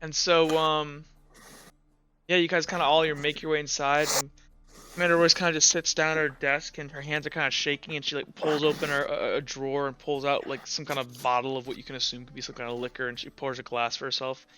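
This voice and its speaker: A man narrating a tabletop game as game master in a casual, halting, conversational way. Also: on the high side, little pitch movement, bright, forceful tone. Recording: slightly dull, lo-fi audio. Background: music.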